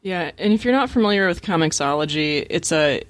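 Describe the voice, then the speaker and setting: A man's voice: on the high side, expressive, brisk, fairly bright tone, no echo. A man co-hosting a podcast, chatting casually and explaining things to listeners. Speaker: podcast co-host.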